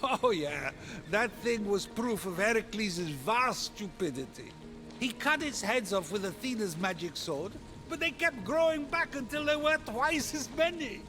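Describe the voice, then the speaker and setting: A man's voice: sits high, expressive, measured pace, music in the background. A man playing a boastful, flippant and emotional old god in a video game, chatty and pompous. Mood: mocking. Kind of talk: video game comic narration.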